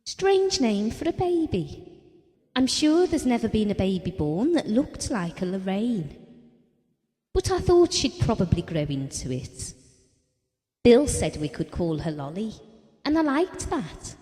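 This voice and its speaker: A woman's voice: mid-range voice, very animated, measured pace, dark tone. A woman acting a wistful, devoted wife of about forty in an audio drama, telling her story in a reflective, intimate way. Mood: wistful, gentle. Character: Ellen, wistful, devoted wife around forty, quietly wounded. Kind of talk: theatrical audio drama monologue and dialogue. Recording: long hall-like reverb.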